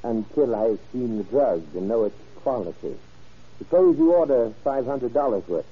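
An actor playing a shrewd, impatient male drug buyer in an old radio crime drama, speaking in formal, deliberate, clipped phrases. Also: medium pitch, expressive, breathy edge. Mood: firm, businesslike. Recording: muffled old-time radio recording.

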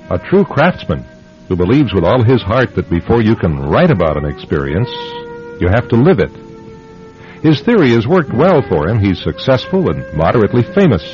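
A man hosting a radio drama, reading scripted narration in a measured, suspenseful, theatrical way. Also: fairly low pitch. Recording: dull lo-fi radio recording, music bed. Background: music.

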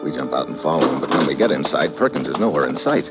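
A hard-boiled detective with a very low voice that still moves around a lot in pitch. He talks laconic and matter-of-fact, and it sounds like a dull old radio recording with music under it. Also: expressive, fast. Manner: laconic, matter-of-fact narration in present tense. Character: hard-boiled American male detective narrating. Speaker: private investigator and narrator. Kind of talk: 1950s radio drama acting.

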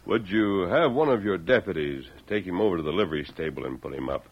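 A calm, courteous man in the guise of a humble farmer. His voice is very low and husky, measured and subdued. The vintage radio western sound is dull. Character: calm lawman hero disguised as humble farmer. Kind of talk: old-time radio western acting.

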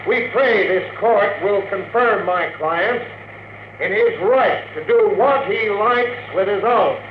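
High-voiced courtroom lawyer, old radio. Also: male voice, takes it slowly, roomy sound. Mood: indignant oratory. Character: lawyer pleading in court, and a brusque deputy marshal. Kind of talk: classic radio drama acting. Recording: muffled, noisy old radio recording.

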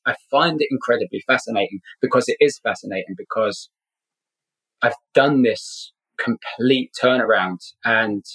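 A man's voice: mid-range voice, a bit bright, husky, low-quality recording. A middle-aged man from Scotland who grew up in London, speaking with a London accent, tells a personal story earnestly as an interview guest, repeating words for emphasis. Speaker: podcast guest.

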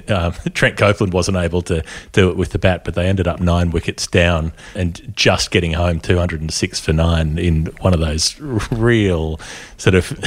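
A man co-hosting a casual cricket chat, laughing often through relaxed banter. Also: deep voice. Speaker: cricket podcast co-host.

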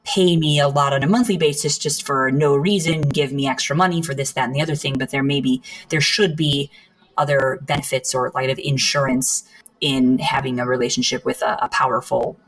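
A woman hosting a podcast who listens attentively with soft backchannels and reads a scripted episode introduction. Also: very deep voice, steady tone, a little fast, poor audio. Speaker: podcast host.

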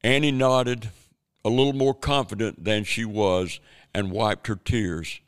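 A man's voice: fairly low pitch, steady tone, a little slow, clean tone, no echo, clean studio sound. A man narrating a scripted radio drama as its storyteller.

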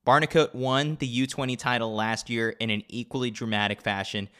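A man with a clear, smooth voice narrates sports news from a script. He delivers it at a middling pitch, with dry, tongue-in-cheek asides. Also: fairly even intonation, brisk, a bit bright. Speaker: podcast reader of a sports newsletter. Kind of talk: scripted narration with wry commentary.

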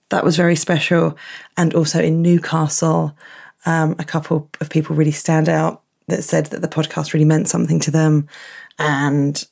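A woman hosting her podcast from a small box room at home, chatty and croaky in the early morning. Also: low-pitched. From England. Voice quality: husky. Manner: chatty, warm thanks and asides. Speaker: podcast host. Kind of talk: casual podcast intro.

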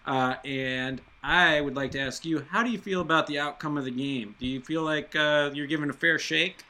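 A man's voice: a little high, normal speed, bright, forceful tone. A man doing an earnest local reporter.

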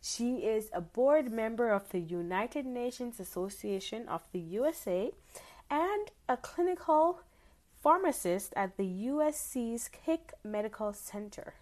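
A woman's voice: on the high side, lively intonation, unhurried, darkish tone. A woman hosting an interview podcast, speaking to her guest with encouraging interjections. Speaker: podcast host.

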